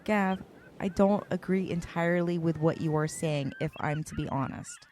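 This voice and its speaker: A woman's voice: on the low side, normal speed, darkish tone, slight background noise, lightly acted. A woman voicing a blunt, exasperated talent show judge from the US. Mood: irritated. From United States. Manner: blunt, irritated.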